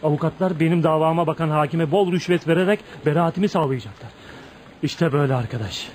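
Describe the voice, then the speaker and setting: Radio play lead: bitter, brooding outcast in his thirties male actor, emotional and dramatic, higher register, light background noise. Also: quick pace, darkish tone, lightly acted. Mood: defiant, vengeful. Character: tormented, bitter 35-year-old outcast man, brooding and volatile. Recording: noisy radio drama recording.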